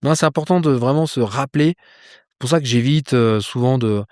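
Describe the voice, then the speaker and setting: A man being interviewed about life and ambition, talking in a lively casual way with frequent fillers, restarts and mild swearing, outdoors. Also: medium pitch, moderate pace, no echo, clean studio sound. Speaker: polar explorer guest. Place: outdoors with a view of a landscape.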